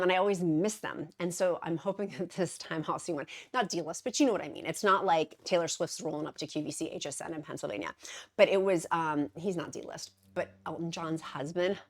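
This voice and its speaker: Brief: upbeat woman vlogger, chatty and effusive, slightly low voice, lots of asides. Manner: bubbly, gushing, self-deprecating, rambling asides. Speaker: vlogger, weight-loss journey host. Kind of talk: casual chatty vlog to camera.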